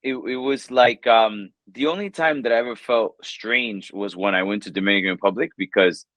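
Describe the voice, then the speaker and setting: New york guy in his 30s, kinda low voice, super casual, rambles with lots of likes, dry close mic. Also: normal speed, no echo. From New York, USA. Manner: relaxed, rambling, lots of "like" and "you know". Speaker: English teacher, guest. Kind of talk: casual interview chat.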